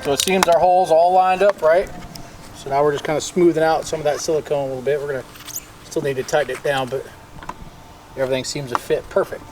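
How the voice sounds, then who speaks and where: An American man with a slightly high voice explains a do-it-yourself job casually. He speaks plainly and informally, like a video host showing his work. The recording is outdoors, with faint background noise. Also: steady tone, fast. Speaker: DIY boat maintenance video host. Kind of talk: casual hands-on how-to narration. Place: outdoors, on a boat parked by a driveway.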